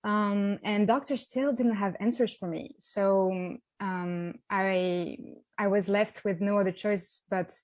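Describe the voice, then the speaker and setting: A woman in her thirties from the south of France with a French accent, telling her story as an interview guest, with many pauses and restarts. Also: mid-range voice, steady tone, darkish tone, no echo. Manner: hesitant, many ums and restarts. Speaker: guest, app founder. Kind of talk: interview conversation. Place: outdoors by the sea.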